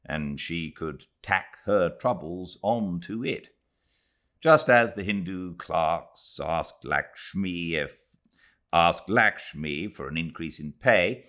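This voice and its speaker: A man from Britain narrates a classic novel from beside his home fireplace, after a relaxed, chatty greeting to his listeners. His voice sits very low. He takes his time over each phrase. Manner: relaxed, chatty intro then measured literary reading. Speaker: audiobook narrator. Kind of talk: live fireside book reading.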